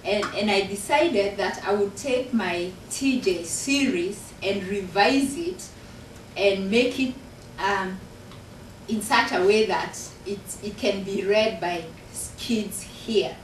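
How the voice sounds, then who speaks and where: A woman's voice: medium pitch, slow pace, far from the mic, slight background noise. A woman from Kenya with a Kenyan accent, an author and professor talking earnestly about her book to an audience in a university function room. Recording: echoey room recorded from afar.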